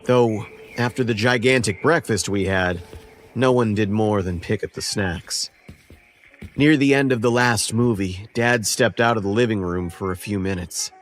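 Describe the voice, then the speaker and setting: Low-voiced male horror story narrator. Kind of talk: scripted first-person horror story narration. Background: faint music.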